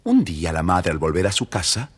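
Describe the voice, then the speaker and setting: A man's voice: deep voice, huge pitch swings, fairly quick, breathy edge. A man expressively narrating a scripted children's story.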